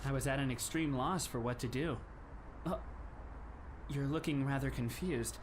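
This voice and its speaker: A man's voice: mid-range voice, moderate pace, noisy background, natural voice. A man playing a polite, composed teenage schoolmate in an anime fan dub, with formal, courteous phrasing. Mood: polite, relieved.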